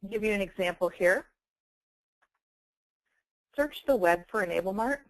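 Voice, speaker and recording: A woman giving a webinar software demonstration, enunciating clearly as a presenter. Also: mid-range voice, animated, moderate pace, dry recording, low-quality recording. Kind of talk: webinar product demonstration with dictation. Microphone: close handheld speech mic.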